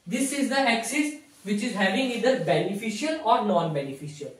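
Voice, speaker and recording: A man's voice: high-pitched, expressive, fairly quick. A man in his thirties with an Indian accent giving a didactic whiteboard lecture in short, repeated phrases. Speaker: physiotherapy lecturer. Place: small indoor classroom at a whiteboard. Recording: very echoey room, distant microphone.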